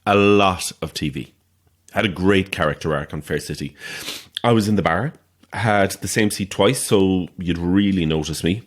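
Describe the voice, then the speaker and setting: A man's voice: low-pitched, normal speed. An Irish man playing a chatty, boastful small-time actor who is very pleased with himself, in a naturalistic audio drama. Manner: boastful, chatty, theatrical asides.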